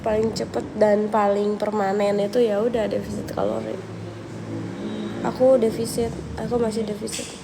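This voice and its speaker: A woman's voice: mid-range voice, moderate pace. Sick woman chatting on a livestream. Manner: chatty, relaxed, thinks aloud with fillers. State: has a cold, coughing. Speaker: livestream host answering viewers. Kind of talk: casual live chat reading viewer questions. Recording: noisy livestream audio.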